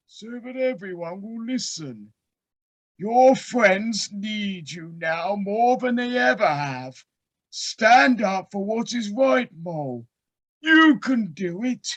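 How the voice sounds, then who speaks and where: A woman playing a wise, encouraging woodland tree in an amateur audio play, with a deliberate, exhorting manner. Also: on the high side, sharp tone, heavily acted. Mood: urgent.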